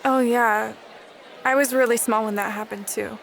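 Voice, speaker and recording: Woman playing a wry student girl, highish voice, casual, faint crowd noise behind. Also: fairly even intonation, moderate pace, gravelly. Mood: casual, chatty. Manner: wry, lightly patronizing. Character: wry, teasing female student. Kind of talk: audio drama dialogue, casual.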